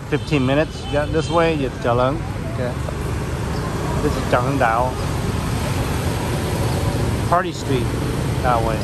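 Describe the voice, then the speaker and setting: A man talks his way through a city walking tour, casually pointing out corners and shops and circling back to rephrase himself. His voice sits at an ordinary middle pitch. Scooters and traffic are plainly heard behind him on the open street. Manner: rambling, pointing things out, frequent restarts. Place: outdoors on a busy city street. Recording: clear street traffic noise. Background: passing scooters and city traffic.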